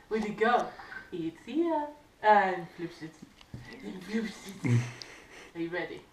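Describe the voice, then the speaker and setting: Female, casual and coaxing with lively intonation, caught from a distance over clear background noise. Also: on the low side, drawn-out words. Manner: playful, coaxing, repeats short phrases. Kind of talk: casual chat, playful pet talk. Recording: echoey, noisy, distant camera mic.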